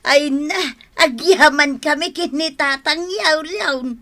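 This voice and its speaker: A woman's voice: high voice, takes it slowly, a bit bright, heavily acted. A woman with an Ilocano accent playing an appreciative elderly mother in a radio drama. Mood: grateful surprise. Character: grateful, approving elderly mother. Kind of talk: Filipino radio drama acting.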